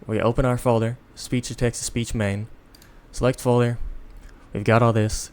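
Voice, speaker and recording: A tired man giving a casual, unscripted software tutorial in a rambling, self-deprecating way. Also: mid-range voice, flat delivery, normal speed. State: tired, sleepy. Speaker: tutorial host.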